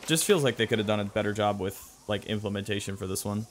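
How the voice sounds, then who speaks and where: A man's voice: on the low side, fast. A man giving casual, rambling gameplay commentary as host of a gaming video. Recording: faint game noise behind voice.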